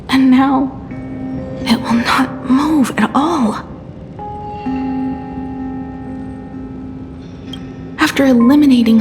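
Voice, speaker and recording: Slow, breathy, weary young engineer. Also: female voice, high voice. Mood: weary, frustrated. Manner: slow, sighing, wistful. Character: frail, weary young woman engineer, quietly despairing. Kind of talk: dramatic game voice acting.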